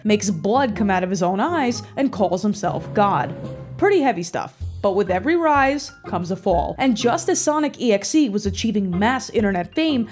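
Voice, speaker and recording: A woman's voice: fairly high pitch, darkish tone. A woman in her twenties hosting a scripted video essay with a wry, sardonic delivery, close on a desk microphone. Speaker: host. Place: small room at home, indoors. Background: background music.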